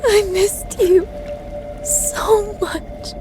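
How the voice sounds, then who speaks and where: High-pitched, anguished young heroine. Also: female voice, full character voice. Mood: tearful. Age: young adult. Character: anguished, defiant young woman bearing a burden. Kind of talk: dramatic game cutscene acting.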